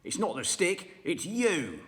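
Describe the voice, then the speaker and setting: A man's voice: high voice, wildly swooping pitch, slow, in a large hall, voice pushed up, full character voice. A man voicing a nasty old man who gloatingly taunts his wife in a storybook reading. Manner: taunting, mock-solemn.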